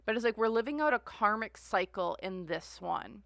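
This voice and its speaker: A woman's voice: medium pitch, lively intonation, moderate pace, creaky, a bit bright, no room sound, clean studio sound. A woman giving a casual tarot reading, rambling and filling her sentences with "like" and "uh.". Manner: rambling, heavy use of "like" and "uh". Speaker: tarot reader.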